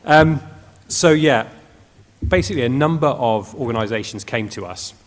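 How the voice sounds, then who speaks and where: A man's voice: mid-range voice, lively intonation, normal speed. A man from Wales giving a wry, conversational presentation as a research director. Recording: long hall reverb.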